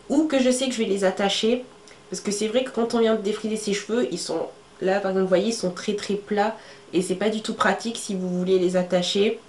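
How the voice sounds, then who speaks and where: A woman's voice: mid-range voice, darkish tone. Chatty woman with a cold, echoey room. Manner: chatty, many fillers, shows items to camera. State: congested with a cold. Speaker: beauty vlogger. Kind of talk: casual how-to talk to camera. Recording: echoey room with faint ambient noise.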